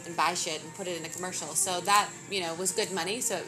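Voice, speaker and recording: Low-voiced woman, candid and dry. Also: fast, over music, low-quality recording. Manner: relaxed, candid, dryly funny. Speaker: fashion business founder, guest. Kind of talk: casual interview.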